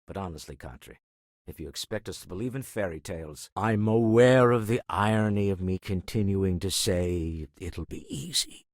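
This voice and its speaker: An older male actor playing a shrewd, urbane businessman in a video game, raspy and articulate, with dryly formal, measured phrasing. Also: low voice, no echo, clean studio sound. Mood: dry, skeptical. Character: shrewd, urbane businessman explorer, wry and principled. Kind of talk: video game character dialogue.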